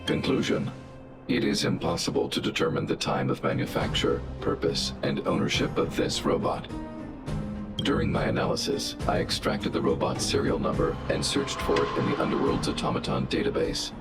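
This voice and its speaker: A huge male guardian robot speaks in clipped, analytical statements with almost no warmth. His voice sits extremely low and gravelly. The game audio carries a roomy echo under loud music. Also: normal speed.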